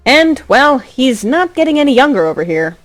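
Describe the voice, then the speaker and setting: Expressive woman storyteller, lively high-set voice. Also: normal speed. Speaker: narrator of an audio drama. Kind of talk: scripted dramatic storytelling narration. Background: music.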